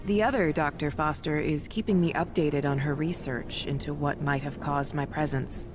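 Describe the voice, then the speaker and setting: A woman acts out a battle-weary warrior goddess for a video game. Her voice sits low, with measured, formal, theatrical phrasing and a wry edge. Music plays clearly behind her. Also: steady tone, lightly acted. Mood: measured, dry. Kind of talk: dramatic video game voice acting.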